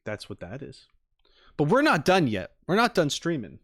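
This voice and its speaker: A man hosting a livestream, chatting casually and playfully with viewers, rambling and often swinging up into high-pitched emphasis. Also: wildly swooping pitch, normal speed, fairly bright tone, breathy edge, dry recording, studio quality. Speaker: streamer and reaction video host.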